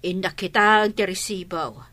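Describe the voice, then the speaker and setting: A woman's voice: mid-range voice, fairly even intonation, normal speed, sharp tone, close to an everyday voice. A woman with an Ilocano accent playing a worried, exasperated middle-aged mother of a grown son in a radio drama.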